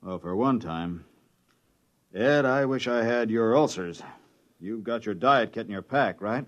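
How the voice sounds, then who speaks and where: An actor playing a glum, weary expedition scientist with a halting, understated delivery in a 1950s radio drama. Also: male voice, on the low side, animated. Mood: reluctant. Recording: dull old radio recording.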